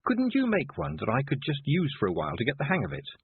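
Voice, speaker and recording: A man voicing a young girl apprentice witch, plaintive and eager, with a husky voice. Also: medium pitch, huge pitch swings, fast talker, a little hiss or hum, pitched above the performer's own voice. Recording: dry tinny phone-like sound.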